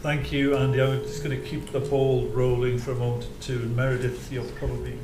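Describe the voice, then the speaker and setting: A man's voice: medium pitch, level tone. Courteous man chairing a board meeting. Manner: courteous, brisk moderator. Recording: echoey, noisy meeting room.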